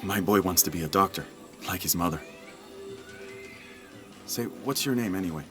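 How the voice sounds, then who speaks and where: A deep-voiced man played by an actor, an anxious working-class father in a video game. He talks earnestly and with some hesitation, over clearly audible music. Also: moderate pace, close to an everyday voice. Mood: grateful, earnest. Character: worried, wary, grateful working-class father. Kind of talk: video game cutscene acting.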